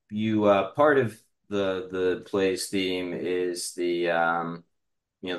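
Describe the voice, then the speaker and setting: A man hosting a casual podcast interview, feeling his way through questions in halting, roundabout phrases, with roomy sound. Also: sits low. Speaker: podcast host.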